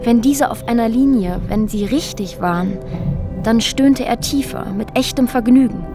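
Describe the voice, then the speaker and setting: An actress playing a woman who recounts a strange, disturbing ordeal in a reflective, halting, matter-of-fact radio drama monologue. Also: on the high side, natural voice. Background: music under the voice.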